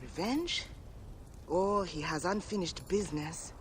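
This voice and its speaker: A man's voice: high voice, expressive, a little slow, a little hiss or hum. An actor playing a shrewd, bookish and roguish relic dealer in a video game, theatrical and savoring his words. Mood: intrigued. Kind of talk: video game cutscene acting.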